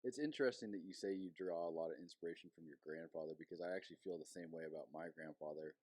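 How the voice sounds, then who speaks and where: A young adult man hosting a podcast, talking in a relaxed, candid way and swearing casually. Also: sits low, quick pace, no room sound. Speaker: podcast host.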